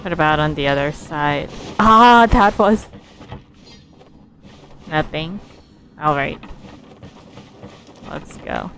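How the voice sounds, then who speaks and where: Slow-paced woman game streamer. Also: deep voice, expressive, fairly bright tone. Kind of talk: casual live gameplay commentary.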